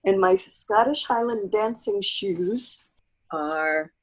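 A woman's voice: medium pitch, lively intonation, slow, breathy edge. A woman in her sixties with an American accent, telling personal stories as an interview guest, often acting out what people said. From United States. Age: 60s. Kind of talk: anecdotal interview storytelling.

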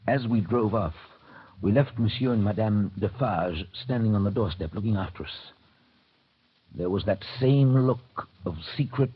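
An actor playing a grave gentleman narrator in a mid-century radio drama, telling the story in measured literary style. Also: lowish voice, slightly rough. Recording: muffled, noisy old radio broadcast.

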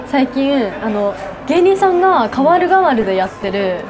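A woman with a high, very animated voice chats casually as a podcast co-host. She is polite and quick to react, with the clear buzz of a busy eatery around her. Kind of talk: casual chat. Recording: lively crowd chatter behind. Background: restaurant crowd chatter.

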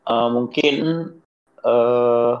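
A young man from Bogor, a student, talking in a casual chat, halting and searching for words. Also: mid-range voice, little pitch movement. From Bogor, Indonesia. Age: young adult. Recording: dull lo-fi sound.

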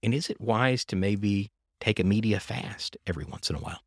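A man's voice: on the low side, brisk, no echo, clean studio sound. A middle-aged man hosting a faith-based podcast, talking earnestly and reflectively in long linked thoughts. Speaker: podcast host.

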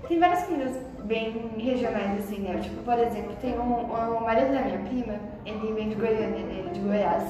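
High-voiced São Paulo woman, echoey. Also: a little fast, hardly any pauses. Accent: São Paulo Brazilian Portuguese. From São Paulo, Brazil. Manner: animated, slangy, laughs often. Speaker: podcast co-host. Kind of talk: casual chat. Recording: very echoey room, distant mic, music underneath.

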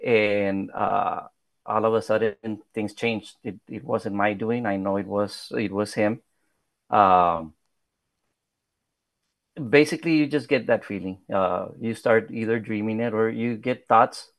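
A man's voice: lowish voice, steady tone, unhurried, no room sound. A man in his thirties from South Texas, a native Spanish speaker with a Spanish accent, talking in a podcast interview in a modest, hesitant way with many fillers and restarts. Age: 30s. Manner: modest, soft-spoken. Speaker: political candidate.

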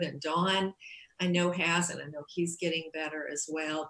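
Soft-spoken woman with halting thanks. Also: lowish voice, reverberant, rough recording. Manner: halting, many fillers and restarts. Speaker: church leader closing a service. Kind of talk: grateful closing remarks.

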